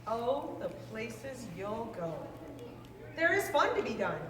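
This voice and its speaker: A woman reading a children's rhyming book aloud in a lively, sing-song way. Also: on the high side, slow. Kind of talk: read-aloud storytelling. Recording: roomy, distant mic, faint noise.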